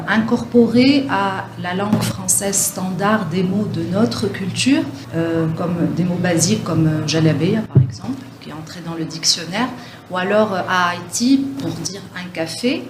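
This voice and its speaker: A woman professor answers interview questions thoughtfully, pausing and restarting often. Her voice sits in an echoey room with a steady hiss of noise behind it. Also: lowish voice. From France. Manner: thoughtful, many hesitations and restarts. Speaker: French professor, guest.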